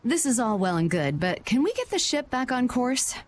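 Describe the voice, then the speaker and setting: A woman's voice: on the high side, big pitch swings, moderate pace, a little hiss or hum. A woman voicing a composed, businesslike adult merchant leader in a video game dub. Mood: businesslike concern.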